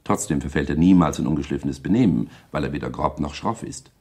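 An actor playing a sober, detached male observer, speaking in a measured way in a scripted radio play. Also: a bass voice, dark tone, husky.

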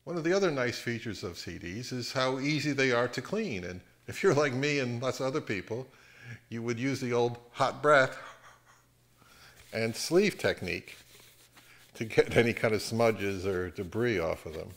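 A middle-aged man hosting a casual explainer at home, speaking in a measured, halting way. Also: mid-range voice, expressive, a bit bright. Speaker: host of an audio hobby channel. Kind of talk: casual explainer to camera with some narration. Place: home living room.

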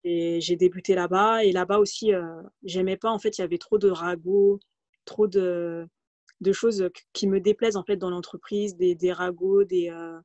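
A woman's voice: on the low side, steady tone, normal speed, darkish tone, no room sound. A woman in her thirties from the Paris region, telling her career story as an interview guest and speaking hesitantly, with many fillers and restarts. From France, Paris region. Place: home room, video call. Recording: dull, lo-fi video call audio.

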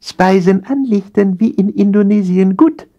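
Audio-story waiter, male: high pitched, smiling, bowing, stubbornly polite, broken grammar. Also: a little slow, mellow, lo-fi sound. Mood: servile, insistent.

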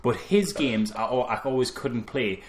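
A man with a medium-pitched voice talks as a co-host on a film review podcast. He chats casually and spins anecdotes in a joking, sweary style. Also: moderate pace. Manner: jokey anecdotal storytelling, sweary.

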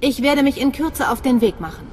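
Cool, higher-voiced female agent. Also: animated, brisk, faint music behind. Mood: cool, businesslike. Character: cool, professional female imperial secret agent. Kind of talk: dramatic sci-fi game dialogue.

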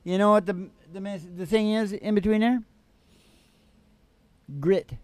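Older folksy man, high-pitched, slow. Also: steady tone, clean tone, no echo. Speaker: podcast host and musician. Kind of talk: casual motivational chat. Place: small curtained stage, indoors. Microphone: stand microphone. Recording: live mic with acoustic guitar. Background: acoustic guitar.

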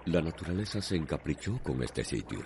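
A man's voice: extremely low pitch, big pitch swings, fairly quick, heavy background noise. A man with a Cuban accent narrating a scripted radio drama in a declamatory, poetic manner.

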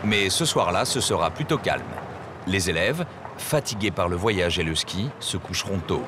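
A man reading scripted documentary narration in a measured storytelling way. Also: deep voice, lively intonation, background noise.

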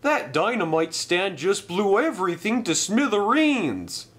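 A man's voice: high voice, big pitch swings, measured pace, a bit bright, voice pushed up, heavily acted. A man voicing a chatty, easygoing male engine with an offhand manner.

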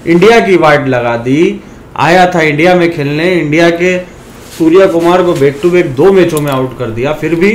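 A man's voice: a little high, a little hiss or hum. A man from India with a sore throat, talking casually to his viewers, calling them brothers and ranting now and then. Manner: chatty, addresses viewers as brothers, animated rants. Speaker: fantasy cricket YouTuber.

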